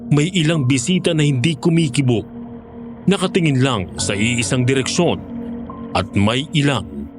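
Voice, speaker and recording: A Filipino man acting as a former hunter tells his horror story in scripted first-person narration. His voice is mid-pitched, with music playing underneath. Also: expressive, moderate pace, natural voice. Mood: ominous, measured. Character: former male aswang hunter recounting his experience.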